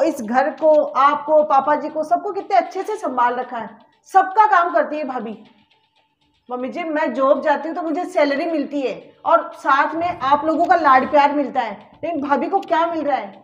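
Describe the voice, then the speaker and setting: An earnest young working daughter-in-law, acted by a woman in a family skit, firmly lecturing her mother-in-law on fairness. Her voice is high and the recording is dull and lo-fi. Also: reverberant, lightly acted.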